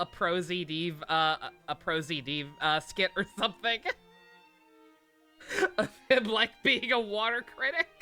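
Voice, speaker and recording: A woman's voice: fairly high pitch, pushed and bright. A woman livestreaming a game with casual, joking, slangy commentary addressed to her viewers.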